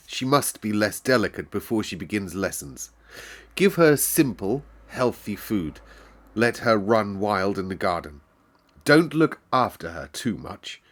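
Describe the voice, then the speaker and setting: A man reading aloud and voicing a gloomy, tired gentleman who speaks slowly and gives clipped orders. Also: lowish voice. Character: gloomy, weary middle-aged gentleman of a manor.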